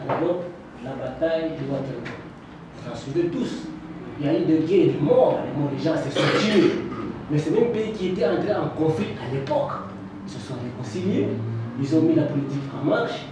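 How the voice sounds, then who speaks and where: A man making an earnest, impromptu contribution to a public debate, often posing rhetorical questions. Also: a little high. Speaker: audience contributor at a conference. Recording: very echoey room, distant mic, faint noise.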